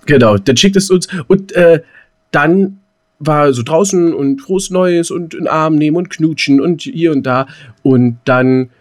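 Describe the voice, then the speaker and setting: A man's voice: fairly high pitch, a little slow. Rambling man chatting on a podcast. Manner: rambling, colloquial. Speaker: podcast co-host. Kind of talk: casual chat, anecdote telling.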